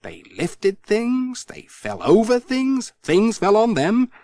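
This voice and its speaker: A man narrating a children's storybook as an audiobook reader, in an expressive storytelling manner. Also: high voice, takes it slowly, dry recording.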